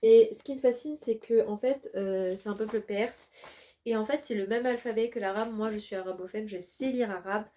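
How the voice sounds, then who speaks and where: Chatty young woman with a mellow voice. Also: medium pitch, moderate pace, slight background noise. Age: 20s. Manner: chatty and gushing, many fillers. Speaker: vlogger and design student. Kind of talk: casual vlog chat to camera. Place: at home.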